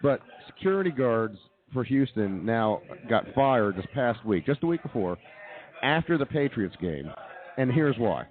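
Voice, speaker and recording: A man's voice: medium pitch, big pitch swings, unhurried. A man hosting a comedy radio show, trading banter in a talk-show style. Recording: tinny phone-like band-limited sound.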